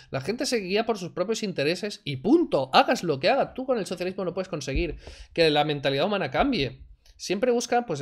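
Man, 30s, sarcastic, mocking, home streaming setup. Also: a little high. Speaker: reaction streamer. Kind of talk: casual commentary over a clip. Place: home room, indoors. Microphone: desk mic, wearing headphones.

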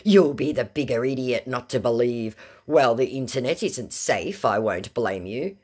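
Pleading male scammer reading his own typed messages, very deep husky voice, voiced by a woman. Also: expressive, a little slow, a little mellow, full character voice. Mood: insistent.

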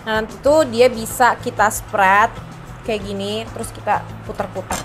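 A woman's voice: on the high side, steady tone, moderate pace. A young woman with a blocked, nasal voice from a bad cold walks through her makeup routine in a loose, chatty way, tossing in casual jokes at her own expense. She talks close to the camera in a small room at home, with faint music running underneath. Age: young adult. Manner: chatty, casual, self-deprecating asides. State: stuffy nose from a heavy cold. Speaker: beauty vlogger. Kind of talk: makeup tutorial talk. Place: small indoor room at home, rain outside.

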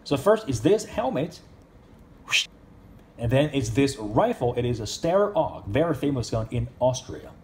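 A man's voice: mid-range voice, very animated, unhurried, background noise. A man reviewing an action figure in casual speech, with simple, non-native English phrasing. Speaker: toy reviewer.

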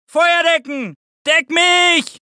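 A frantic, hostile German soldier in a war video game. His high, sharp voice barks urgent combat shouts, recorded close and dry. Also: steady tone, very slow, no room sound, full character voice. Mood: urgent, aggressive. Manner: urgent, barking.

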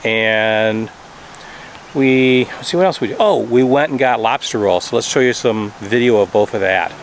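A man's voice: medium pitch, moderate pace, low-quality recording. Middle-aged man vlogging outdoors. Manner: plain, practical, explaining to viewers. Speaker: travel vlogger. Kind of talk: casual travel vlog talk. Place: outdoors at a campground. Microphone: camera held at arm's length.

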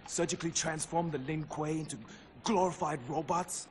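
A proud young clan assassin, acted by a man speaks in a slightly high male voice. He is intense, indignant and defiant, a video game warrior with faint ambience behind. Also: fairly even intonation, normal speed, a little hiss or hum, natural voice. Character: indignant, proud male assassin clansman, loyal, hot-tempered. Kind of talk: video game cutscene acting.

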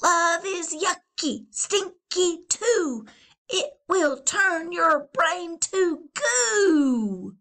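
A woman's voice: very high-pitched, animated, slow pace, sharp tone, pitched above the performer's own voice, full character voice. A woman voicing a grumpy, candy-loving male valentine card that runs away, bossy and mocking. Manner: bossy, mocking sing-song taunts.

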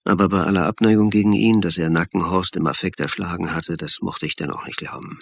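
An actor playing a calm, wry police lecturer and investigator in a radio crime drama, with a husky voice. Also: male voice, low-pitched, fairly quick, a little hiss or hum. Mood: wry, reflective. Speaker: actor, narrator and lead. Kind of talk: radio crime drama acting and first-person narration.

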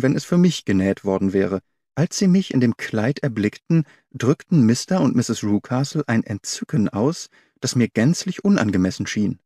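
Male audiobook narrator, mid-pitched with lively intonation, dry close sound, measured and articulate storytelling. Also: no echo. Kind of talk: scripted literary narration, audiobook reading.

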